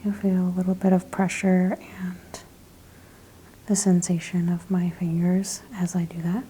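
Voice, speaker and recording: A woman whispering gently in an ASMR spa roleplay, softly and slowly describing each thing she does in a breathy voice. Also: fairly low pitch, flat delivery, slight background noise. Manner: slow, gentle, step-by-step narration of actions. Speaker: ASMR performer playing a spa practitioner.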